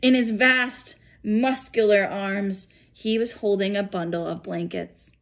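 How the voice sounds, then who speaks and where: A woman in her thirties narrating a storybook aloud for children in an expressive way, indoors at arm's length from the microphone. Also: medium pitch, a little slow. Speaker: school principal reading aloud. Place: small home room, indoors. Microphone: phone or tablet at arm's length.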